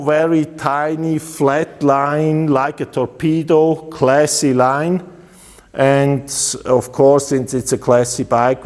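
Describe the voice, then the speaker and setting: A man with a Swiss German accent, a custom motorcycle builder giving a casual walkaround of his bikes, relaxed and halting with many fillers. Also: mid-range voice, long reverb tail.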